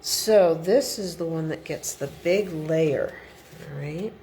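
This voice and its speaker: A middle-aged woman talks through a card-making project at her desk in an unhurried, low voice with a gravelly edge. She sounds a little sniffly from a cold, chatting casually as she works. Faint room hum sits behind her. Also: mellow. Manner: chatty step-by-step instructions. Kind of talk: relaxed craft tutorial. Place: home craft desk indoors. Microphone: overhead camera above the desk.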